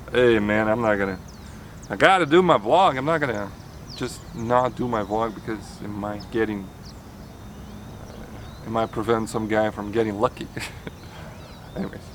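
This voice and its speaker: A man's voice: fairly low pitch, unhurried, long pauses, fairly bright tone, a little hiss or hum. A man talking casually in a vlog outdoors, rambling and restarting his sentences with chuckles. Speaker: vlogger. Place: outdoors, near a road.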